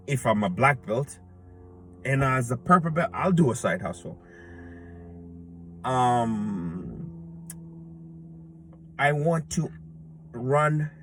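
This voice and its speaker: A man's voice: medium pitch, husky. Earnest rambling man in his thirties. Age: 30s. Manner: rambling and earnest, many restarts and fillers. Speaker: jiu-jitsu purple belt vlogger. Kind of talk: reflective casual monologue. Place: outdoors in a public place.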